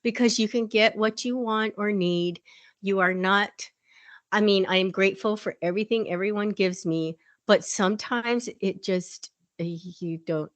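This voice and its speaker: A woman's voice: mid-range voice, fairly even intonation, moderate pace, no room sound. A woman co-hosting a podcast in casual chat, rambling and laughing often as she tells stories. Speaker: podcast co-host.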